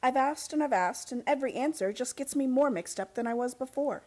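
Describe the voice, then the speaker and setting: An actress playing a frustrated young heiress in an old-time radio play, earnest and full of questions. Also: female voice, high voice, brisk, mellow. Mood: confused, exasperated. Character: frustrated, insistent young heiress seeking family secrets.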